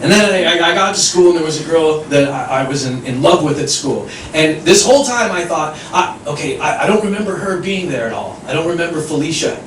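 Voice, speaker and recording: American stand-up guy, pretty high voice, far off mic in an echoey club, animated self-mocking story. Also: a little fast, hardly any pauses. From United States. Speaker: stand-up comedian. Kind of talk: stand-up comedy set. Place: comedy club stage. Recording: echoey room, distant mic, audience noise.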